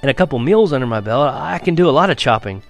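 A man's voice: medium pitch, lively intonation, quick pace, gravelly, faint music behind, voice pushed up. A man voicing a tired, earnest young country boy in a spoken story.

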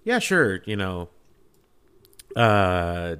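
A man with a fairly low voice talks casually as a podcast panelist. He is emphatic and fervent, pressing his opinions on listeners like a devoted fan. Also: big pitch swings, drawn-out words, fairly bright tone, smooth.

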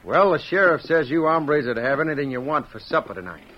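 An actor playing an obliging small-town western jail deputy with rustic slang, in old radio drama style. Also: male voice, on the high side, expressive, quick pace, natural voice. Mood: easygoing. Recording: dull, noisy old radio recording.

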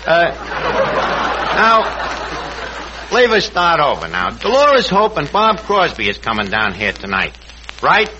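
A man's voice: fairly high pitch, huge pitch swings, measured pace, long pauses, lo-fi sound. An actor playing a brash, scheming New York tavern manager who mangles his words pompously in a 1940s radio comedy. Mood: cocky. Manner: pompous malapropisms and slangy grammar. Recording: noisy old 1940s radio recording.

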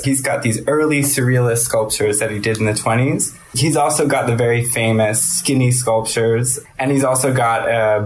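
A young man, an assistant editor, giving a lively spoken primer on a sculptor in a podcast conversation. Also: mid-range voice. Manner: lively, explanatory, with fillers. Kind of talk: explanatory conversation about art.

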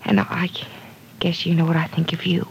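An actress playing an earnest, gentle young woman caught up in love troubles in an old radio drama, soft and breathy at times. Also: lowish voice, level tone, moderate pace, a little hiss or hum, poor audio. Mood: pleading. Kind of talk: 1940s radio acting.

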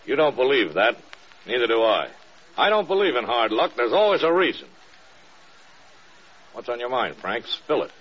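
Stern general, husky and animated. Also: male voice, medium pitch. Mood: pressing, grave. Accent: American. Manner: measured, authoritative, pointed questioning. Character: stern, probing older male air force general. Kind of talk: classic American radio drama acting. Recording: dull lo-fi old radio recording.

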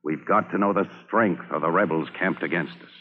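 A man's voice: low voice, brisk, narrow, tinny audio. A man playing a stern, commanding army general, authoritative and emphatic, in old-time radio drama style. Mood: grave, commanding. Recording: band-limited vintage radio recording.

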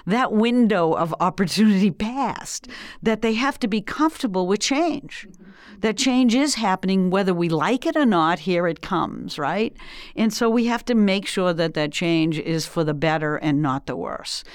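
A woman in her sixties with a Boston accent, speaking as an interview guest in an emphatic, animated way with frequent laughs. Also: medium pitch, no echo, clean studio sound. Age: 60s. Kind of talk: energetic conversational interview.

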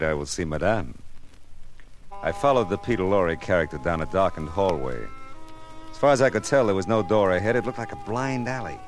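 Brief: low-pitched hard-boiled detective, wry and wary, noir radio narration, faint music underneath. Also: male voice. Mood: sardonic.

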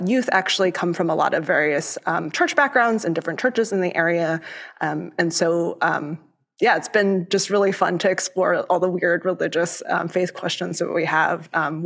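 A young woman answers interview questions in a slightly low voice. She speaks thoughtfully, often pausing with ums. Her first language is Cantonese, and she grew up in Florida after being born in Hong Kong. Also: creaky. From born in Hong Kong, raised in Florida. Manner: thoughtful, with many ums and pauses. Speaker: lay youth minister, guest. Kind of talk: interview conversation.

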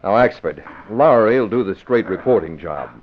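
An actor playing a brisk, authoritative young male publisher, dry and businesslike, in a vintage radio drama. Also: on the low side, lively intonation, a little hiss or hum, natural voice. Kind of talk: 1950s radio drama acting. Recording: dull vintage radio recording.

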